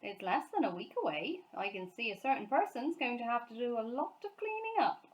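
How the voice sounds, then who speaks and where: A woman's voice: on the high side, big pitch swings, a little fast, a little mellow, voice pushed up, low-quality recording. A woman voicing a stern, no-nonsense female housekeeper, firm and scolding, in a children's storybook. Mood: disapproving.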